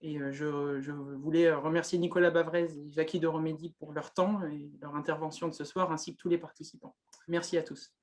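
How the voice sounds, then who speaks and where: A man's voice: a little high, little pitch movement, fairly quick. A man in his twenties introducing himself hesitantly on a video call, with many fillers and repeated words. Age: 20s. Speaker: candidate.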